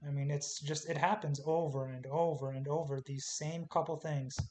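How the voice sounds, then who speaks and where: A man's voice: a little high, steady tone, moderate pace, a little mellow. Man losing his voice over charts. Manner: offhand, clipped chart calls with fillers. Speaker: stock trader walking through charts. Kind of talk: casual screen-share chart commentary. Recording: faint hiss.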